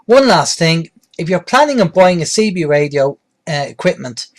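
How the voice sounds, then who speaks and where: A man with a slightly high voice presents a practical how-to guide. His delivery is plain-spoken and explanatory, like a host walking beginners through each step. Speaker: presenter, radio tutorial host. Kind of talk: instructional tutorial narration.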